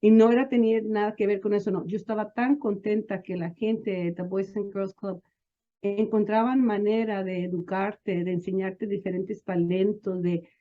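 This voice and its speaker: A woman's voice: medium pitch, fairly even intonation, moderate pace, phone-quality sound. A woman guest chatting informally in an interview, switching between languages at times. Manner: mixes Spanish and English. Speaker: interview guest, business advisor.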